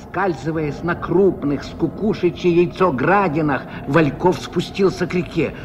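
A man giving measured, expressive literary narration in the style of 1970s Soviet radio drama. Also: a little high. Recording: dull, faintly noisy old radio recording.

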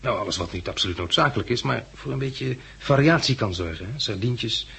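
Grim male survivor, Dutch accent, very husky grainy voice, matter-of-fact, hesitant pauses, vintage radio play. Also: medium pitch, expressive, a bit bright, exaggerated voice. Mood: businesslike. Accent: Dutch, from the Netherlands. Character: practical, grimly realistic male survivor planning ahead. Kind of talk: 1960s radio drama acting.

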